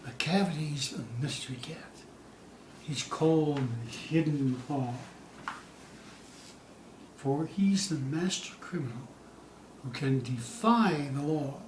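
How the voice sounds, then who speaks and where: A man giving a theatrical poetry recital, dramatic and suspenseful, with drawn-out phrases moving between hushed dips and loud peaks. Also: fairly high pitch. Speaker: poetry reader. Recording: distant, echoey room with faint hiss.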